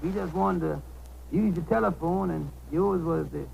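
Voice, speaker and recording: An actor playing a humble, worried, polite taxicab driver with folksy ungrammatical speech in a 1930s radio comedy. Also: male voice, high-pitched, normal speed, vocal fry, a little mellow. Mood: grateful. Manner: folksy ungrammatical dialect, hesitant and deferential. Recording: noisy 1930s radio broadcast.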